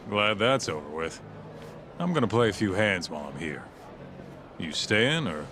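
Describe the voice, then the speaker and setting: Brash, forceful tough-guy sidekick. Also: low-pitched, sing-song, moderate pace, a little hiss or hum. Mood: breezy. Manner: casual, needling, joking. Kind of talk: video game cutscene acting.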